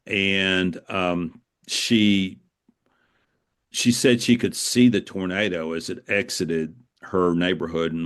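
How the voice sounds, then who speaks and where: A man being interviewed as an author, telling stories in a reflective, conversational way, earnest and halting, repeating phrases for emphasis. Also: low-pitched.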